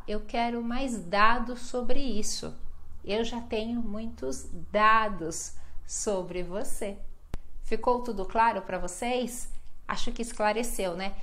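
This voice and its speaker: Brazilian lady teaching portuguese, talks slow, says examples twice, pretty patient. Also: a little high. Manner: patient, repeats example sentences slowly. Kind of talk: online language lesson.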